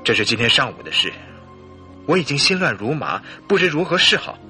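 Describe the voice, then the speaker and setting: A troubled, nervous husband in a radio play. The voice is very bright and forceful, with lively swings of pitch and an earnest delivery over music. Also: male voice, brisk, exaggerated voice. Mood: dejected, agitated. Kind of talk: radio drama acting.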